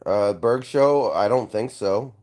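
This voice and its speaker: A young man hosting a casual live stream, congested and hoarse, answering viewer comments with an offhand manner and frequent throat clearing. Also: lowish voice, takes it slowly, a little mellow.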